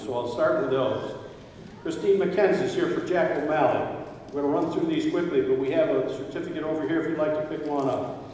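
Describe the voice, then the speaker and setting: An older man briskly reading out family names at a ceremony podium, with offhand joking asides. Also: a little high, darkish tone, a little hiss or hum. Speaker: ceremony presenter. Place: large auditorium stage. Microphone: podium microphone, recorded from far. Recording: echoey hall from far off.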